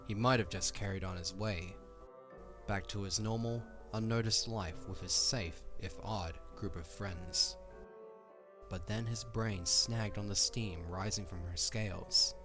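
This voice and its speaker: Deep-voiced male story narrator. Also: steady tone, unhurried, over music.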